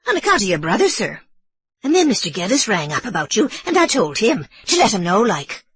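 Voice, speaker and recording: Woman playing an old irish housekeeper, meek, apologetic, husky, lively pitch, storybook reading. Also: a little fast, dry recording, exaggerated voice. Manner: apologetic, deferential, sir-ing constantly.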